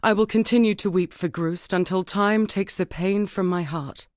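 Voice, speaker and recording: A woman playing an earnest, formal woman scholar in a dark fantasy video game, speaking in a measured way. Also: mid-range voice, dry recording, clean studio sound, close to an everyday voice. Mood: grieving, grateful. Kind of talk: scripted dramatic game dialogue.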